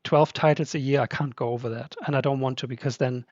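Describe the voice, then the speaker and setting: A man's voice: medium pitch, steady tone, fast, a little mellow, no echo, highs cut off. A podcast guest who is a man, English not his first language, talking casually and chattily with many fillers. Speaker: podcast guest, translator and publisher. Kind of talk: casual conversation.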